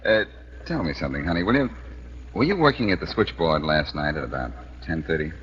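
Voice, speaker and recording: An actor playing a brisk, wry, determined American detective in 1940s radio drama, with clipped, assured delivery. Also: male voice, rumbling low, lively intonation, a bit bright. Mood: wry, probing. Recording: dull, noisy old 1940s radio recording.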